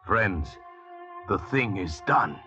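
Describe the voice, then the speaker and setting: A suave, low-voiced convict doctor. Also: male voice, very animated, bright, forceful tone, soft background music, heavily acted. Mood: smugly pleased. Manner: urbane, measured, politely ironic, chuckling. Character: suave, self-assured French convict doctor, a murderer. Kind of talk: 1940s radio drama acting. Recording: muffled vintage radio recording.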